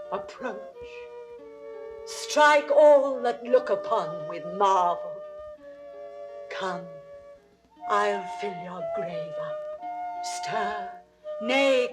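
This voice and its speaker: A woman's voice: on the high side, rough recording. A British woman acting a commanding, solemn noblewoman in classical Shakespearean audio drama, stately and incantatory. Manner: stately, incantatory, deliberate phrasing.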